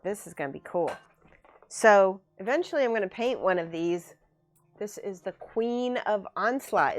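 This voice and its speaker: A woman hosting a casual livestream, chatting and laughing as she reads viewer comments aloud. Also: mid-range voice. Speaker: miniature painting streamer. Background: faint background music.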